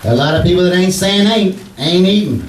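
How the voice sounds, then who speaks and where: A man's voice: high voice, lively intonation, fairly quick. A man playing a bumbling middle-aged husband and handyman in a 1940s-style radio comedy, folksy, ungrammatical and blustering. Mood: defensive. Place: arts center stage, live performance. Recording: roomy stage recording, distant mic, hiss.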